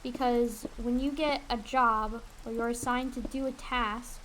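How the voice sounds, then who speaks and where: Young female game streamer, higher-pitched, candid rambling chat, some background noise. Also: fairly bright tone, rough recording. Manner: candid, rambling, swears casually. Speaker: streamer and aspiring voice actress. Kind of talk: casual livestream chat while gaming. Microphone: USB desk condenser mic.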